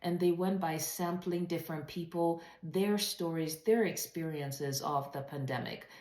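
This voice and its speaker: A woman's voice: fairly low pitch, measured pace, darkish tone, echoey room. A woman presenting a writing webinar in an earnest, emphatic, conversational way, putting rhetorical questions to her listeners. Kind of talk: webinar talk, conversational teaching.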